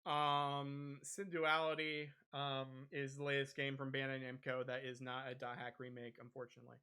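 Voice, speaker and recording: A man's voice: mid-range voice, little pitch movement, normal speed, pushed and bright, clean tone. A man hosting a podcast, talking casually and a little hesitantly through gaming news. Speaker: podcast host.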